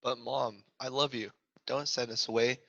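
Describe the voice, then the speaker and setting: A man's voice: medium pitch, steady tone, moderate pace, vocal fry, sharp tone, no echo. A man student voicing a pleading young storybook pig at a table read, through a lo-fi call microphone. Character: pleading young male pig, devoted to mother.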